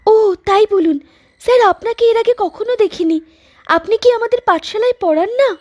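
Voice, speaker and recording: A woman's voice: very high-pitched, fairly quick, darkish tone. A woman voicing an anxious young village pupil in a horror cartoon. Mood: nervous. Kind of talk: dubbed horror cartoon dialogue. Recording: music under the voice.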